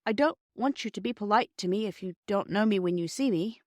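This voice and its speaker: A woman's voice: mid-range voice, brisk, no room sound, studio quality, natural voice. A woman voicing a proud, earnest and impatient young schoolboy in a storybook, with a boyish, blurting manner. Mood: anxious, apologetic.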